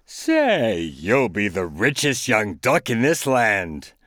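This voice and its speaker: A scheming cat from a storybook, coaxing and persuasive, drawing out his words slowly, as a man puts him on. His pitch swings widely from low purrs to high sweet notes. The recording is close and dry. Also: wildly swooping pitch, fairly bright tone, no room sound, full character voice. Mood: sly coaxing. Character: sly scheming male cat, a smooth talker. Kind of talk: storybook reading.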